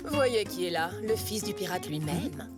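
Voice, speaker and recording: Aristocratic cartoon villainess, smug, scheming, mocking, drawn-out emphasis, highly animated pitch, music underneath. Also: female voice. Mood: mocking, threatening. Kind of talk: animated series dubbing.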